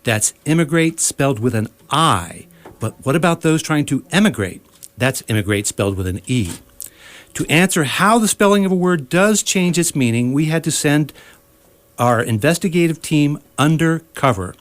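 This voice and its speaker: A man's voice: a little high, animated, moderate pace. A man hosting a scripted satirical commentary in a mock-serious, wordy manner. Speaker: host.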